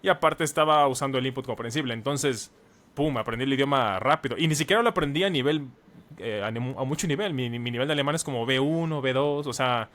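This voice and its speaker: Brief: man in his thirties, podcast guest, mid-pitched voice, earnest and explanatory, conversational. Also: quick pace, fairly bright tone. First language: Spanish. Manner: earnest, explains at length with many examples. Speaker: guest, language teacher and author.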